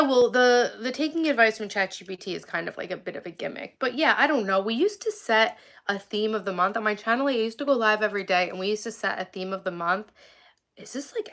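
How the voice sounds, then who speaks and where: A woman in her forties from Long Island, with a slightly high voice roughened by vocal fry. She rambles through a casual live stream, leaning on "like" constantly. She is outdoors under a tree and sounds sniffly from a cold. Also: a bit bright. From Long Island, New York. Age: 40s. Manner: rambling, heavy use of "like". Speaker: livestream vlogger.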